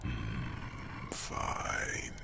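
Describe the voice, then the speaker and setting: A man playing an ancient, tender, wise dragon in an ASMR fantasy roleplay, murmuring slowly in a gravelly half-whisper. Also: background noise. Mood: tender, teasing. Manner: slow, pausing, murmuring. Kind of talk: ASMR fantasy roleplay, slow intimate monologue.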